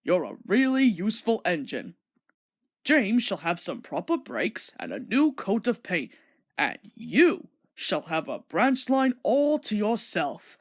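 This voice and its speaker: Woman as a kindly, measured railway director. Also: mid-range voice, sing-song, full character voice. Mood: kindly, pleased. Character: kindly railway director, a portly man in charge.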